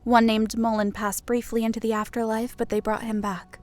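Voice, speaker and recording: Woman as a lofty, high-voiced goddess of death. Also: level tone, normal speed, a little mellow, natural voice. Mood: matter-of-fact. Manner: lofty, sweet, composed. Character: regal female death god, lofty, serenely amused. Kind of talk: dramatised audiobook character dialogue.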